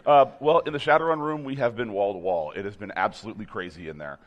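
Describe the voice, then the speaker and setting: A hoarse man leading a game demo team, talking casually with many restarts. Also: fast talker, highs cut off. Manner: rambling, many restarts, upbeat pitch. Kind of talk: casual chat.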